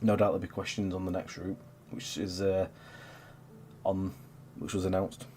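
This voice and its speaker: A man's voice: low-pitched, completely flat delivery, moderate pace, a little mellow, a little hiss or hum. A man in his thirties with a stuffy, congested voice, casually chatting as he hosts a gameplay livestream, rambling with lots of fillers. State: congested with a cold. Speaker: stream host.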